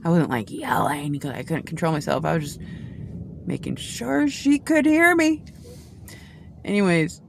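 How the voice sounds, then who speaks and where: A woman's voice: low voice, expressive, measured pace, creaky, long pauses, slight background noise. A woman giving a casual tarot reading, talking in a rambling, chatty way with many restarts and asides. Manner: says she has a loud voice. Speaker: tarot reader.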